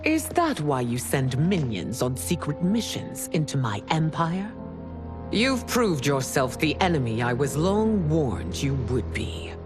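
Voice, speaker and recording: An actress playing a proud, imperious empress in a video game cutscene, regal and commanding. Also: female voice, sits low, very animated, unhurried, music in the background. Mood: cold. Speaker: ruling empress. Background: orchestral game score.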